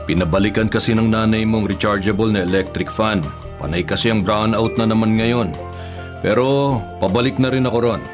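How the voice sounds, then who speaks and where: A man's voice: lowish voice, steady tone, normal speed, over music, natural voice. A man playing a kind, forgiving older father in a Filipino radio drama. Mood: casual, pleased.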